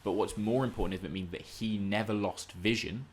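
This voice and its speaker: A man's voice: fairly low pitch, steady tone, brisk, slight background noise. A man co-hosting a podcast, discussing film and TV casually in an emphatic, analytical, argumentative way. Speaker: podcast co-host.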